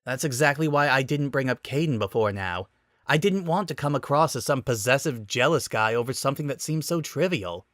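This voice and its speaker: A man's voice: medium pitch, animated, fairly quick, a bit bright, no room sound, lightly acted. Casting: male reader as boyish prince, self-conscious and awkward, swinging intonation, close dry recording. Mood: earnest, awkward. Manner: flustered, sheepish, self-conscious. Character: awkward young prince, flustered, touchy-feely and boyishly petulant. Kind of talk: dramatic reading of romantic comedy fan fiction.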